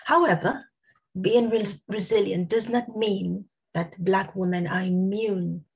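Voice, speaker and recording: A woman giving a prepared talk on a video call, losing her voice and clearing her throat, measured and listing points with frequent ums. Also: medium pitch, fairly even intonation. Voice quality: husky, gravelly. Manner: measured, reads out lists with many ums. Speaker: guest speaker. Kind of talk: prepared talk at an online summit.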